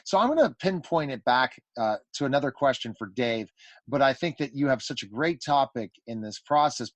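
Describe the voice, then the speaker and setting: A man's voice: mid-range voice, expressive, a little fast, no room sound. A man hosting a conversational radio show, effusive and rambling, often repeating his phrases as he goes.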